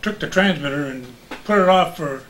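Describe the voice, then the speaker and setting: Bright, higher-pitched older man reminiscing. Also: normal speed, a little hiss or hum, low-quality recording. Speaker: veteran local radio broadcaster. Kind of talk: casual reminiscing.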